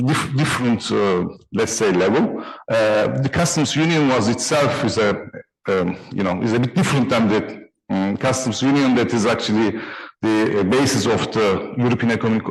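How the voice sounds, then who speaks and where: A man from Turkey with a Turkish accent giving a conference presentation, haltingly, often repeating and reworking his words. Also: mid-range voice, vocal fry, poor audio. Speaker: conference presenter, trade consultant.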